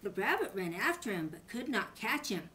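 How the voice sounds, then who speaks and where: An older woman, a grandmother, reading a children's story aloud in an animated style. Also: mid-range voice, normal speed, slight background noise, rough recording.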